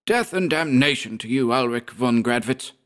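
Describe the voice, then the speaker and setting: A man's voice: mid-range voice, measured pace, voice pushed up, lightly acted. A man voicing a savage, mocking poacher, snarling and jeering at his enemy in a storybook tale. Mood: snarling defiance.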